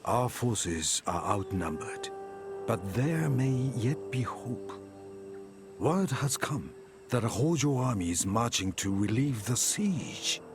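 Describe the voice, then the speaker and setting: A man's voice: mid-range voice, big pitch swings, takes it slowly. A man playing a solemn, resolute samurai chronicler, giving dramatic scripted historical narration for a game cutscene over music. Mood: grave, hopeful. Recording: music behind the voice. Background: cinematic music.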